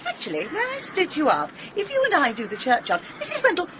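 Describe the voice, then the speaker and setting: An actress playing a genteel, polite and flustered vicar's wife in a radio drama. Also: female voice, high voice, wildly swooping pitch, fast. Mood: fussy concern. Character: genteel, fluttery, kindly vicar's wife in an English village. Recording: dull, hissy radio drama recording.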